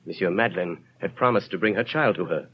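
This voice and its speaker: A man's voice: low-pitched, breathy edge. Grand man narrating a vintage radio play. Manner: grand, measured storytelling. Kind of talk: 1930s radio drama narration. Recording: dull lo-fi 1930s radio broadcast with music.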